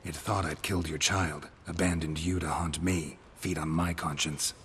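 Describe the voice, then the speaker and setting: A man voicing a gruff, stoic monster hunter in a video game, low and gravelly, terse and deadpan with dry humour. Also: moderate pace, slight background noise. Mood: calm, matter-of-fact.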